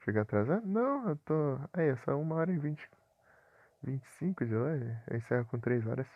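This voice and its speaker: A man's voice: medium pitch, sing-song, a little fast, no room sound, highs cut off. A Brazilian man from Paraná streaming a game live, chatting casually with viewers and chuckling often.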